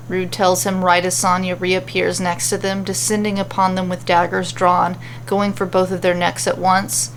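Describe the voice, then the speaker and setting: A woman's voice: mid-range voice, a little hiss or hum, rough recording. A woman reading a scripted fantasy adventure story as its narrator, telling it dramatically.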